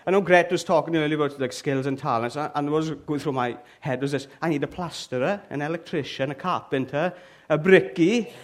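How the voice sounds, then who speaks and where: A middle-aged man with a Welsh accent, giving a lively, joking sermon to a church congregation and often checking in with "okay?" and "all right?". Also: on the high side. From Wales. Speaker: church speaker. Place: church hall with an audience.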